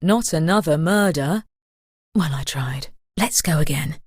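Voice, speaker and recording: A woman's voice: sits low, unhurried, husky, dry recording, clean studio sound. A woman voicing a sly, wicked temptress in a video game, coaxing and teasing with sinister glee. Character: sly, wicked temptress gleefully egging killers on. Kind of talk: scripted game voice lines.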